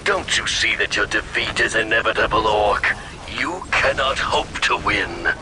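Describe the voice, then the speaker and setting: A man voicing a proud, stern alien warrior commander in a video game, measured, formal and disdainful. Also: on the low side, background noise, close to an everyday voice. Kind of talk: video game character acting.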